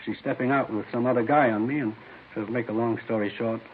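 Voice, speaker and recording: A man's voice: mid-range voice, steady tone, lightly acted. Man acting an uneasy informant, hesitant, tinny sound. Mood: uneasy, earnest. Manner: hesitant, halting, earnest. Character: uneasy, down-on-his-luck man informing police of murder plot. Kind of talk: 1950s radio drama acting. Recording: hissy, tinny old radio recording.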